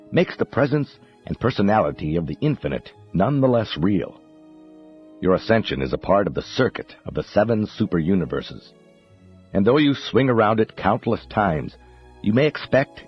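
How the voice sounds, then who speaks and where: A man reading a spiritual text as a professional audiobook narrator, in a solemn, measured, declamatory manner. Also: deep voice, breathy edge. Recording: dull muffled audio under music. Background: background music.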